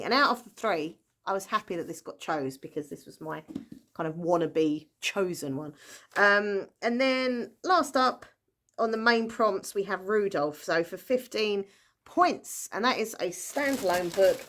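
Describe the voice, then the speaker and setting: A woman's voice: mid-range voice, fairly bright tone. A woman, a book vlogger talking in a casual, rambling and enthusiastic way. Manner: rambling, enthusiastic, full of fillers and small laughs. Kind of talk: casual chatty book talk.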